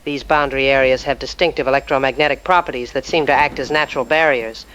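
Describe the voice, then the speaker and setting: Man playing a crisp, forceful scientist. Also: medium pitch, steady tone, fast talker, highs cut off, a little hiss or hum, lightly acted. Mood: clinical, warning. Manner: clinical, serious, precise. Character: serious male scientist giving a sobering briefing. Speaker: scientist, expert guest. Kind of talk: scripted expert briefing in an audio drama.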